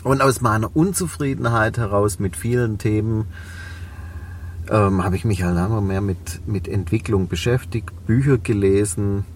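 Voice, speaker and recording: A man's voice: lowish voice, moderate pace, recorded from a distance, a little hiss or hum. A man in his forties with a Swabian German accent, a business owner talking reflectively as an interview guest, with many fillers. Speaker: guest, cleaning company owner. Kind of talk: podcast interview.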